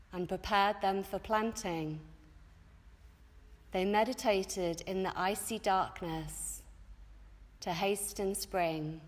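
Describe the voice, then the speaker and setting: Female meditation guide, extremely unhurried and soothing, long pauses, recorded in an echoey space with long reverb. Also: medium pitch. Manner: soothing, measured, with long pauses between phrases. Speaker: yoga nidra teacher leading guided relaxation.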